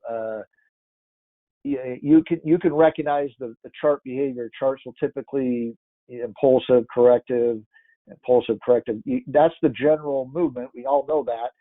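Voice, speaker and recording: A man's voice: mid-range voice, steady tone, normal speed, dry recording. A man with a congested, stuffy nose giving casual market commentary, rambling and thinking aloud with lots of restarts. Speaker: market analyst. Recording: tinny phone-like dry audio.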